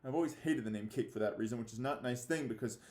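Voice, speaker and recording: A man speaking as a fifth-grade teacher giving a read-aloud lesson, halting and theatrically mock-serious in his delivery. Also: medium pitch, a little mellow. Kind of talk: read-aloud lesson for children, reading a novel with commentary. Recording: echoey room.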